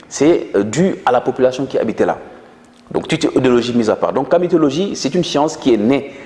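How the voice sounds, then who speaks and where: A man's voice: medium pitch, expressive, brisk, warm tone, roomy sound, in a large hall. A man whose first language is Manjak, explaining ideas earnestly in an interview, with frequent hesitations. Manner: earnest lecturing tone with many hesitations. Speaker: guest.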